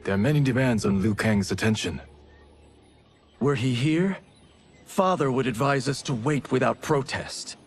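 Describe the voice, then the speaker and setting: Man as husky, earnest younger ninja brother. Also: mid-range voice, normal speed, long pauses, faint music behind, lightly acted. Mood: earnest, urging. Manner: earnest, pleading.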